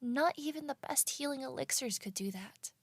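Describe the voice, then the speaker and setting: High earnest lady scholar of magic woman acting, insistent explainer, fantasy audio drama, dry sound. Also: animated, normal speed, no room sound. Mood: insistent, explaining. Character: earnest female scholar of magic crystals, elite mercenary.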